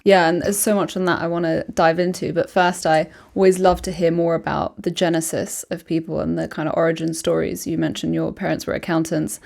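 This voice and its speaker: A woman hosts a podcast interview in a lowish, level voice with a gravelly edge. She asks reflective, measured questions in a casual conversation. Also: level tone, darkish tone. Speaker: podcast host.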